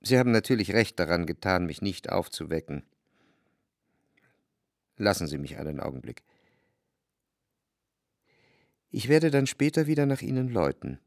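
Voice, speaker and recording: An actor playing a sensitive, refined, melancholic narrator in a literary radio drama, speaking in a measured, lyrical way. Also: male voice, sits low, sing-song, dry recording, clean studio sound. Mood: stunned, restrained. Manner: measured, reflective, lyrical delivery.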